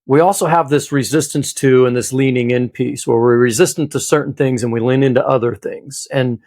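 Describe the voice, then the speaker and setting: A man's voice: mid-range voice, fairly even intonation, a little fast, a little mellow, dry recording, clean studio sound. A man co-hosting a podcast, speaking in a reflective, thoughtful way as he interviews a guest. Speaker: podcast co-host.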